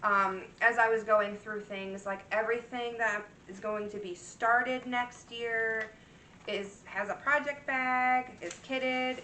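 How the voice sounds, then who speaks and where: Chatty bright-voiced woman in echoey room. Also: mid-range voice, a little hiss or hum. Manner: rambling, chatty, full of fillers and restarts. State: slightly worn voice. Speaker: cross-stitch vlogger. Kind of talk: casual show-and-tell chat. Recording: echoey room, mic at a distance.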